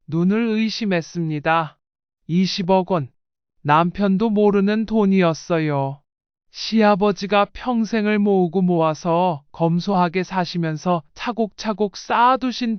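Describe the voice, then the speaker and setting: A woman's voice: fairly low pitch, clean tone, no room sound, muffled recording. A woman reading a scripted first-person life story in audiobook style. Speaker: narrator.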